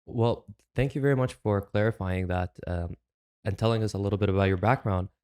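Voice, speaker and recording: A man with a low voice hosting an interview podcast. He introduces his guest and puts his questions in a polite, measured way. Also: darkish tone, smooth. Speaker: podcast host. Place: studio in Canada.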